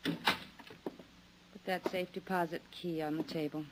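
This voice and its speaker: A woman's voice: deep voice, steady tone, takes it slowly, very noisy. An actress playing a cool, menacing female schemer in a 1950s radio drama. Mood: coldly menacing. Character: cold scheming female gun-wielding schemer.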